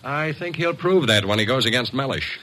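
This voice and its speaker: An actor in a classic radio drama playing a worried, plain-spoken middle-aged boxing manager, earnest and slangy. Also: male voice, medium pitch, lively intonation, fairly quick, pushed and bright, poor audio. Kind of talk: 1940s-50s radio drama acting.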